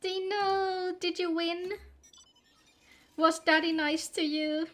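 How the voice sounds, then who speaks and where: A woman's voice: very high voice, fairly even intonation, slow. A woman game streamer chatting casually and playfully while she plays, joking along as she goes. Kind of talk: casual livestream chat while gaming. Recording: background music behind the voice. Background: music.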